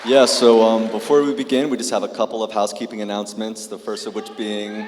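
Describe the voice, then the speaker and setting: A man's voice: fairly low pitch, little pitch movement, quick pace, big-room sound, crowd noise, background noise. A man emceeing a student show, enthusiastic and hammy in his banter. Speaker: event emcee. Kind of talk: comic stage banter.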